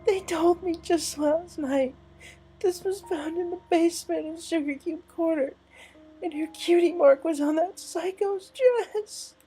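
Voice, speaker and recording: A woman's voice: extremely high pitch, measured pace, mellow, slight background noise. A woman voicing a grieving, anxious young female pony in a story, with a shaky, tearful, hesitant voice. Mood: distraught. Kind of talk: dramatic fan-fiction story reading.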